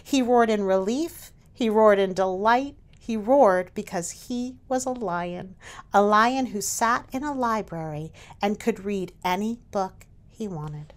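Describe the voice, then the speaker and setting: A woman's voice: mid-range voice, takes it slowly. A woman reading a children's picture book aloud as its author, expressively, with dramatic emphasis on key words. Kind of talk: expressive storybook read-aloud.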